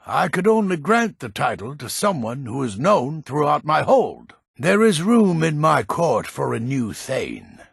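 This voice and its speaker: Game role: haughty old mage-lord, grumpy and curt, heavily gravelled and husky, with wide swings in pitch. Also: male voice, dry recording, studio quality, exaggerated voice. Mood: stately, formal. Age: older. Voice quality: gravelly, raspy. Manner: gruff, curt, impatient. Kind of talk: video game character dialogue.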